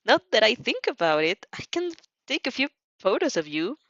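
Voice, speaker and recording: Lady acting a high chirpy teen anime girl, teasing and pushy, kinda muffled dry recording. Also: sing-song, normal speed, fairly bright tone, no room sound. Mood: teasing, sly. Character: bubbly, pushy, mischievous teenage anime girl. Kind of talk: anime fan fiction dramatic reading.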